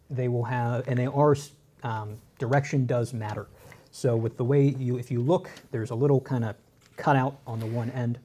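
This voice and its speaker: A man's voice: mid-range voice, mellow, slight background noise. A man co-hosting a computer-building stream, explaining parts patiently and telling corny dad jokes. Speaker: tech co-host. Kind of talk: casual live-stream explanation.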